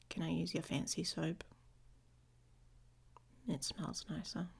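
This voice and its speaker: A gruff she-wolf shifter acted by a woman in an intimate ASMR romance roleplay. Her voice is low and husky with a gravelly creak, often sinking toward a whisper. She talks slowly and bluntly, with a sarcastic, grumpy edge over a protective, affectionate streak. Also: full character voice. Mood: grumbling, teasing.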